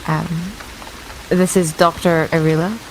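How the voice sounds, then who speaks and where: A woman's voice: lowish voice, level tone, measured pace, poor audio. A woman playing a tabletop roleplaying game, casually speaking in character in her everyday voice. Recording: faint rain ambience noise.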